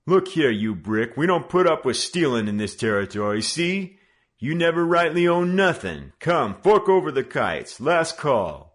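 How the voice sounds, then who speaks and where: A man's voice: on the high side, sing-song, a little slow, pitched above the performer's own voice. A man voicing a young male hoodlum gang leader who bullies and mocks in slangy tough talk. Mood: mocking. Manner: mock-judicial, bullying, slangy tough talk.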